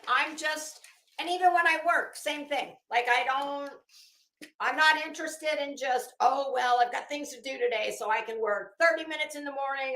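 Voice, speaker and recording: A woman with a fairly high, sharp and forceful voice chats casually as a livestream host. She is blunt and sarcastic, salty-tongued and emphatic. The sound is roomy and echoing. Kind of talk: casual livestream chat and commentary, greeting viewers. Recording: roomy, echoing home recording.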